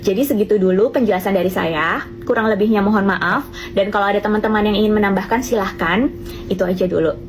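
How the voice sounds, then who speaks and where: A young woman, a student, calmly explaining in a measured, lecturing way. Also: medium pitch, slight background noise. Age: young adult. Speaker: student in Singapore. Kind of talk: explanatory commentary clip.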